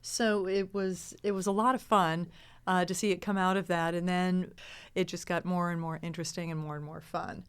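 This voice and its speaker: A middle-aged woman talks in a casual panel chat. Her voice sits a little low and is smooth and clean, and she explains things with emphasis, like a seasoned performer telling anecdotes. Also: little pitch movement, normal speed. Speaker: improv performer and podcast actor.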